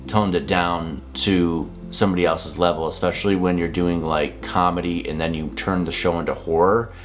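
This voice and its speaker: A man in his thirties with a low voice talks casually to camera like a video reviewer. He is blunt and exasperated, rambling through his opinions. Faint guitar music plays behind him. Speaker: reviewer, vlogger. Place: small home office, indoors.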